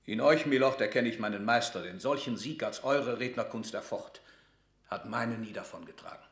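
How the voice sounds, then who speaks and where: A man's voice: medium pitch, normal speed, fairly bright tone, reverberant, close to an everyday voice. A man playing a cold, sarcastic statesman in a classical radio drama, with formal, commanding and cutting declamation. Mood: bitter sarcasm. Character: stern, cold, sarcastic male royal statesman.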